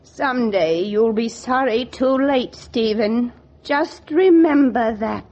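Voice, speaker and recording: A woman's voice: fairly high pitch, slow pace. An actress playing a stern, domineering older lady in a 1940s radio drama, scolding in an imperious, theatrical way. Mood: angry scolding. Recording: dull vintage 1940s radio recording.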